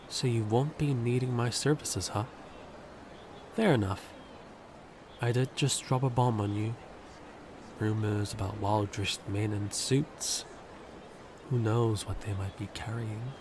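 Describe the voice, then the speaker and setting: A man's voice: fairly low pitch, slow pace, pauses often, noisy background. A man playing a confident, self-centered handyman in an audio roleplay, teasing and cocky. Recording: music bed underneath.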